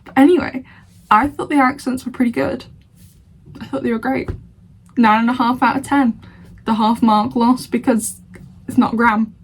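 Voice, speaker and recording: A woman's voice: on the high side, fairly even intonation, moderate pace, pauses often, slight background noise, low-quality recording. A young woman from Lancashire with a Lancashire accent, chatting casually as a reaction vlogger, laughing often and sounding playfully indignant. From Lancashire, England. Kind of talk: casual reaction chat to camera.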